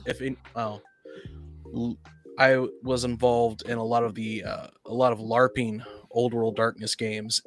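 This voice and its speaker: Halting man in a casual discussion. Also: mid-range voice, fairly bright tone. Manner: halting, often repeats and rephrases. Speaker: podcast panelist. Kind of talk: casual discussion of tabletop roleplaying games.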